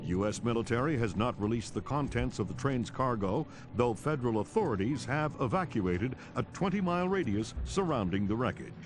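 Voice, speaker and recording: A man's voice: medium pitch, expressive, fairly quick, hardly any pauses, over music, close to an everyday voice. A man playing a serious, urgent television news anchor, reading formally and measuredly in a video game cutscene. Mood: grave. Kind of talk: scripted news bulletin in a game.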